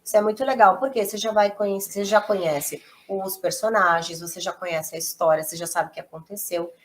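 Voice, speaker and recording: A woman's voice: medium pitch, quick pace, a little hiss or hum. A woman with a Brazilian accent, an English teacher who explains step by step in an encouraging, casual way. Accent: Brazilian Portuguese.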